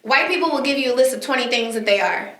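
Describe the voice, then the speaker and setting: A woman hosting a podcast, talking in an animated, emphatic way with quick asides. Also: high voice. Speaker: podcast host. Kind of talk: casual chat. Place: recorded on location, indoors. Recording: echoey room.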